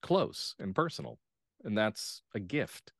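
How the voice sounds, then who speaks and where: A man gives reflective interview answers as a guest playwright. He speaks earnestly and in measured phrases, and the sound is dry, with little room around it. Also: on the low side, expressive, gravelly, dry recording.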